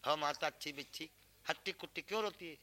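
A man voicing a high-voiced angel disguised as a fakir. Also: fast talker, sharp tone. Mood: concerned questioning. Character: angel disguised as a concerned wandering male fakir.

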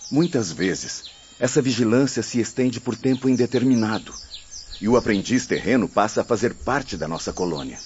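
A man's voice: medium pitch, expressive, moderate pace, a little mellow, close to an everyday voice. An actor playing a polite male spirit mentor, explaining things formally and patiently in a radio drama. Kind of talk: scripted radio drama acting. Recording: muffled audio with faint noise.

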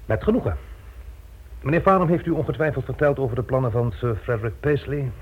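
On a thin, band-limited old radio recording, a self-assured character who is always in control. The voice is mid-pitched and rough-edged, and the manner smug and sardonic. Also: male voice, animated, brisk, narrow, tinny audio. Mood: cool, sardonic. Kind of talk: Dutch radio drama acting.

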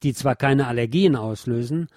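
A man's voice: mid-range voice, normal speed, a little mellow. A man presenting scripted news as a radio host, adding sardonic, opinionated commentary of his own. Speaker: radio show host reading local news. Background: music with guitar.

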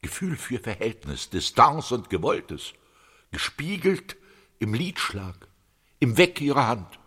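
Husky, slow, dreamy man in reverb. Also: mid-range voice, sing-song, pushed and bright, full character voice. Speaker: radio play actor. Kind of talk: poetic recitation in a radio drama. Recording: long reverberant hall.

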